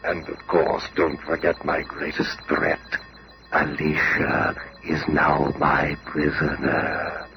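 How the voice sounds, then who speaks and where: An actor playing a pompous, gloating megalomaniac supervillain in a 1970s radio drama, with a deep, gravelly, menacing voice. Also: male voice, level tone, slow, pushed and bright, exaggerated voice. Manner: pompous, menacing, formal theatrical speech. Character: diabolical gloating megalomaniac male supervillain scientist. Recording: very noisy old radio show recording.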